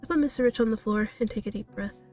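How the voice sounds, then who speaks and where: A woman's voice: a little high, fast, a little mellow, distant mic, faint music behind. A woman streaming a visual novel, switching between read-aloud narration and casual, giggly, self-deprecating commentary.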